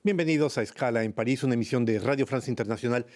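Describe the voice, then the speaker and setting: A man hosting a radio interview, speaking in a measured, formal way and leading with long framing questions. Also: mid-range voice, a little mellow, soft background music. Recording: radio broadcast studio.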